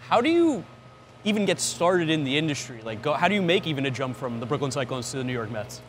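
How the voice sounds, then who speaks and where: A man's voice: fairly high pitch, very animated, quick pace, a little hiss or hum. A man co-hosting a sports podcast in a casual, chatty style. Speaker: podcast co-host.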